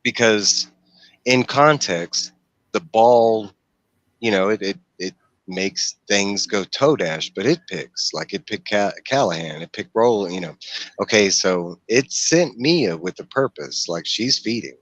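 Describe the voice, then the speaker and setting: A man's voice: lowish voice, expressive, a little slow, fairly bright tone, no room sound, low-quality recording. A middle-aged man hosting a casual book discussion on a livestream, rambling and rephrasing as he goes. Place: indoor room, video call.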